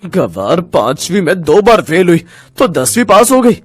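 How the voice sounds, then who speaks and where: A man's voice: on the high side, animated, normal speed, a little mellow, faint music behind. A man voicing a proud, hot-tempered young husband with an emphatic manner in a cartoon story. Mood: furious, scornful. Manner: emphatic, scornful when crossed.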